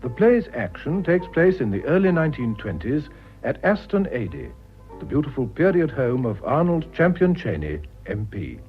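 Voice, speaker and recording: A man's voice: medium pitch, sing-song, moderate pace. Male radio announcer, dull vintage sound. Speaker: announcer introducing a radio play. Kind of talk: scripted scene-setting narration. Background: faint music.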